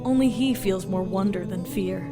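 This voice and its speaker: A woman narrating a fantasy tale in a measured, solemn and dramatic storybook style. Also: medium pitch, lightly acted. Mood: grave, dramatic. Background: loud music.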